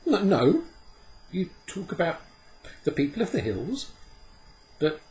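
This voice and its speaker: Eager young girl, high excited voice, lively, voiced by a man. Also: exaggerated voice, poor audio. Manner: high, eager, quick questioning.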